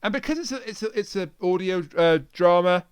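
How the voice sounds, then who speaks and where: A man's voice: high voice, lively intonation, fairly quick, smooth. A man co-hosting a review podcast in casual conversation. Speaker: podcast co-host reviewing an audio drama. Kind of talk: casual chat.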